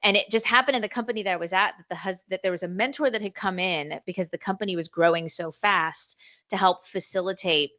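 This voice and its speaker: A woman in her forties, telling her story as a podcast guest in a chatty, animated way. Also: mid-range voice, fast, no room sound. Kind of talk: casual storytelling interview.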